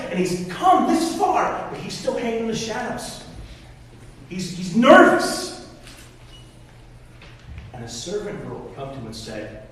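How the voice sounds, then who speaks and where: A man in his forties preaches with a high, lively voice that leaps around as he acts out each person in the story. He sounds distant from the mic, his words ringing through a large, reverberant hall with a faint hiss underneath. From Michigan. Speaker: pastor. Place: church hall. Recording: echoey hall, voice from far off, faint noise.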